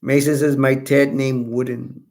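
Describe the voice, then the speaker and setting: A man hosting a casual live stream, chatting loosely with viewers and reading their comments aloud, his voice starting to go hoarse. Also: medium pitch, flat delivery, unhurried, a little mellow. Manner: rambling, self-correcting, chatty. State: voice going hoarse.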